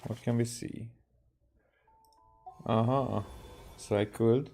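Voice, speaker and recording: A man with a Hungarian accent giving casual gameplay commentary, halting and repeating himself. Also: medium pitch, fairly even intonation, dark tone, soft background music. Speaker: game streamer.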